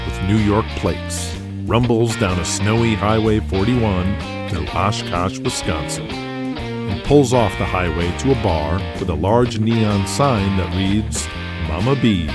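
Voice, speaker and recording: A man's voice: on the low side, slow pace, loud music behind the voice. A man with an American accent reading dramatic scripted narration for an audio drama.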